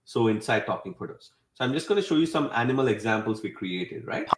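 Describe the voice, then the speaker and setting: A man hosting a webinar with a casual software walkthrough, often checking in with a tagged-on "right?". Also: mid-range voice, animated, fast talker, a little hiss or hum. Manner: often tags statements with "right?". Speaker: webinar host demonstrating software. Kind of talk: casual screen-share tutorial. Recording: roomy, echoey voice recording.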